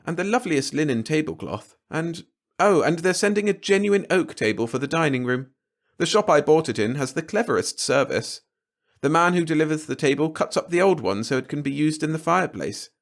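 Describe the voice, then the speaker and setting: A man's voice: a little high, big pitch swings, a little fast, pitched above the performer's own voice. A man voicing a placid, dreamy, materialistic wife and mother in a storybook reading. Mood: dreamy, pleased.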